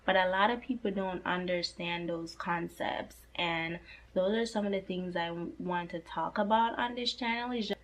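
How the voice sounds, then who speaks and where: A woman in her twenties with a slightly hoarse voice, talking earnestly and casually to camera about personal finance, leaning on fillers like "like" and "you know". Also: fairly low pitch, fairly bright tone, a little hiss or hum. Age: 20s.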